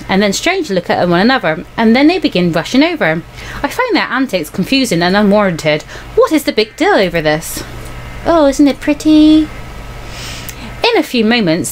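A woman's voice: medium pitch, a little hiss or hum, rough recording. A woman reading a visual novel aloud as a let's play host, breaking off into playful, casual asides. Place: indoor room at home.

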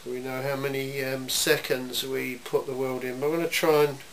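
A man giving an informal, matter-of-fact hands-on demonstration in a workshop, speaking in a measured way. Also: medium pitch, level tone, a bit bright. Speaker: welding demonstrator. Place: indoor cluttered workshop. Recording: hissy, noisy amateur camera sound.

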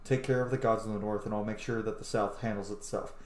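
A man in his thirties doing excitable, casual game commentary and reading game text aloud, swearing freely. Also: on the low side, quick pace. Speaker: streamer playing a video game. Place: small indoor room. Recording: roomy, echoey home recording.

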